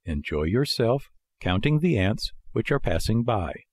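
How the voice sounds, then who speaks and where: Man playing a placid, unhurried lady snail. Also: fairly low pitch, no room sound, studio quality. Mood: blandly amused. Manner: calm, peaceful, drawn-out. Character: calm, unhurried female snail, placidly unhelpful. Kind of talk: storybook character voice.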